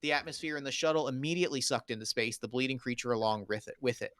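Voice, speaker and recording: A man narrates a screenplay as the reader of its stage directions. His voice sits at a middling pitch. The sound is dry, with very little room in it. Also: fast, fairly bright tone, dry recording.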